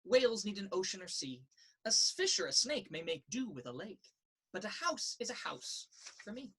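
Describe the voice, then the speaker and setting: A woman reads a children's picture book aloud. Her pitch moves in a lively, expressive way through a sing-song rhythm. The voice has a husky, slightly rough edge. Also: normal speed. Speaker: story reader. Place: indoors in a children's library.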